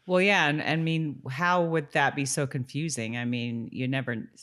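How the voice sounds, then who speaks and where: A woman's voice: rumbling low, steady tone, moderate pace, a bit bright. A woman co-hosting a podcast, chatting casually about a TV show. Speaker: podcast co-host. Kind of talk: casual chat about a TV episode.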